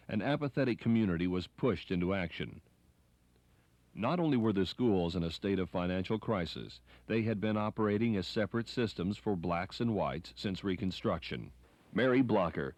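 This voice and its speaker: A man's voice: lowish voice, a little mellow, dry recording. A man reading scripted narration for a documentary.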